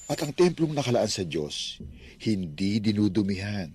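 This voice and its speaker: A man's voice: fairly low pitch, very animated, moderate pace, a little mellow, breathy edge, dry recording. An actor playing a devout, firm and grateful elderly Filipino shopkeeper and father in a religious radio drama.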